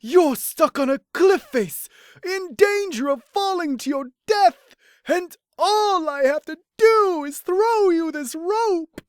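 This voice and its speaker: A man voicing a greedy, mocking griffin extortionist in a drawn-out, taunting manner for a cartoon review. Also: extremely high pitch, lively intonation, no room sound, clean studio sound, voice pushed up, heavily acted. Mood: mock concern.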